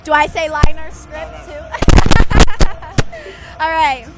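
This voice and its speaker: A woman's voice: high-pitched, very slow, heavy background noise. A woman recording a station ID, playful and giggly. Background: loud music.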